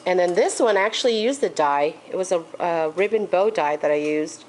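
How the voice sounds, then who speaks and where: A woman walks viewers through a handmade craft project in a relaxed, chatty way. Her voice is congested and sits on the lower side. A faint hiss of noise runs under the recording. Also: brisk, low-quality recording. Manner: chatty, with frequent ums and okays. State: congested from allergies. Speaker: crafting tutorial host. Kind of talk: casual craft tutorial.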